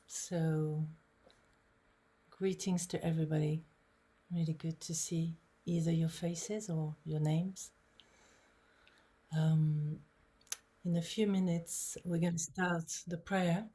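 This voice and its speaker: Calm low-voiced woman in her sixties. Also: takes it slowly, pauses often. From Lebanon. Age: 60s. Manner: calm, gentle, inviting. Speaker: prayer facilitator. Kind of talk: guiding an online prayer gathering. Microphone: video call microphone.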